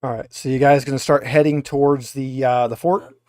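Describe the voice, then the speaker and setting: A man's voice: mid-range voice, steady tone, brisk, a little mellow. A man acting as game master, narrating a tabletop roleplaying game in a hesitant, conversational way.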